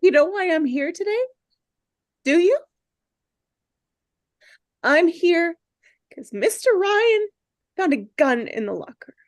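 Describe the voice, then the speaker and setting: A woman reading the part of an earnest, insecure misfit teenage boy, halting and stammering, in a script table read. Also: very high voice, big pitch swings, no echo, clean studio sound, heavily acted. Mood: upset, indignant.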